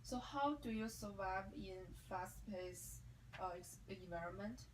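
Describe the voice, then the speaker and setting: A woman runs a mock job interview, asking short, measured questions. Clear background noise sits behind her voice. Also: mid-range voice. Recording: noisy background.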